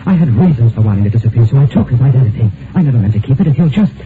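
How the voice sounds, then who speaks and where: A man's voice: mid-range voice, expressive, very fast, noisy background, natural voice. An actor playing an anxious man on the run in an old-time radio mystery. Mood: anxious, pleading. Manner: nervous, stammering in dialogue. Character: anxious male fugitive posing as a dead man. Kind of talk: old-time radio drama, first-person mystery narration and dialogue. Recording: tinny vintage radio recording, music behind.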